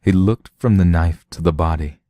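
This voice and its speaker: A man narrates a science fiction story in a low, dark voice. He reads slowly and deliberately, and the sound is close and dry. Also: no room sound. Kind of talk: scripted narration, audiobook reading of a sci-fi story.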